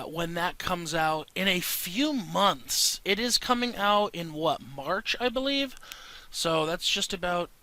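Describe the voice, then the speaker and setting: A man with a crisp, very bright voice sitting a little above average in pitch. He talks informally over his gameplay, rambling along as he comments. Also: poor audio. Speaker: gaming video creator.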